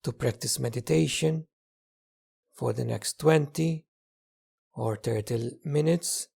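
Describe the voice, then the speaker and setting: A man leading a guided meditation as a teacher, speaking gently in short phrases with long pauses. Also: mid-range voice, vocal fry, slightly rough. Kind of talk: guided meditation, slow spoken instruction.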